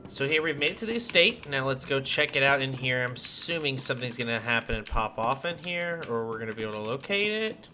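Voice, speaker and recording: A man's voice: mid-range voice, animated, quick pace, hardly any pauses, pushed and bright, soft background music. A man giving casual gameplay commentary as he plays, talking to his viewers. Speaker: gamer, channel host. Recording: faint noise, keyboard clicks.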